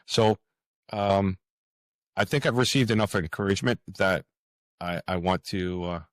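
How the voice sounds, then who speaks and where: A man's voice: deep voice, a little slow, no echo. A man speaking reflectively as an interview guest, earnest and hesitant, often repeating words and phrases. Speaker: author, podcast guest.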